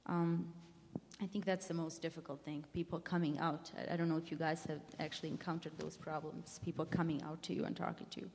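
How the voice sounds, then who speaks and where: Young Bosnian woman, low voice, reverberant hall. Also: flat delivery, brisk, creaky, darkish tone, a little hiss or hum. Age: young adult. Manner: earnest, reflective, searching for words. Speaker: panelist. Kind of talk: personal testimony on a panel. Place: large hall with an audience.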